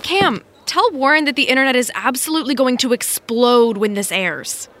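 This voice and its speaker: A brisk, bossy assistant on a television production. Her voice is a little high and has a bright, forceful edge. She teases in a punchy, no-nonsense way, as in modern audio drama. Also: expressive, slight background noise. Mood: teasing, brisk. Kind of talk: naturalistic audio drama acting.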